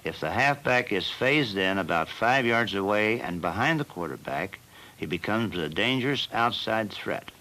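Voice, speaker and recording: A man narrating a football training film as the team's coach, in a measured and plain instructional manner. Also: fairly low pitch, expressive, sharp tone, rough recording.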